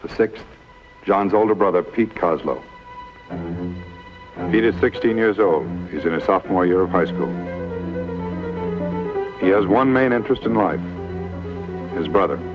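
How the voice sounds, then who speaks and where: A man narrating a 1950s television medical drama in clipped, sober, deliberate phrases. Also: deep voice, lively intonation. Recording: muffled vintage TV soundtrack with music.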